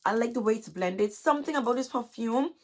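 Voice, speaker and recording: A young adult woman with a hoarse, scratchy, chesty voice, chatting casually to camera as a vlogger and filling her talk with "you guys". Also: fairly high pitch, a little fast. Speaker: beauty vlogger. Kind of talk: casual haul chat to camera.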